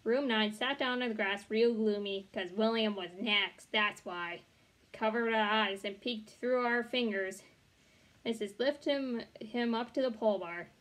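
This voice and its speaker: High-voiced young woman reading aloud. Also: unhurried. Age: young adult. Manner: expressive reading with occasional stumbles and self-corrections. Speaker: read-along storyteller. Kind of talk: reading a children's chapter book aloud. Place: small bedroom, indoors.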